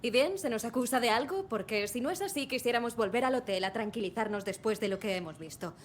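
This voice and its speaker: A woman's voice: fairly high pitch, lively intonation, fast, slight background noise, natural voice. An actress playing a wry, defensive female true-crime writer in a dubbed video game, assertive and sardonic in delivery. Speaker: writer, witness.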